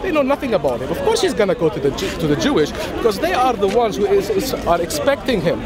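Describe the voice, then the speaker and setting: A man whose first language is Arabic, arguing in a lively street debate in a park. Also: high-pitched, fast. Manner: animated, interjecting. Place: city park outdoors, crowd around. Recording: outdoor crowd noise.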